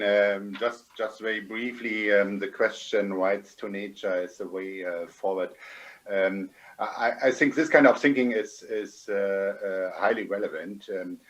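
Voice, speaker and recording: Man, slight German accent, lowish voice, hesitant, many ums, video call panelist. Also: fairly even intonation, measured pace, lo-fi sound. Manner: hesitant, heavy um fillers. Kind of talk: roundtable panel remarks.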